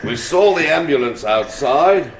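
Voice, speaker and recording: An actor playing a genial, helpful and dryly observant police constable in a radio drama, affable and deliberate. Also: male voice, on the high side, animated, slight background noise.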